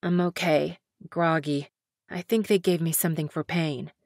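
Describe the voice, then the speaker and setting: A woman's voice: fairly even intonation, moderate pace, no room sound, studio quality. A woman voicing an injured young man who speaks weakly and tenderly from a hospital bed. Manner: soft, low and weary.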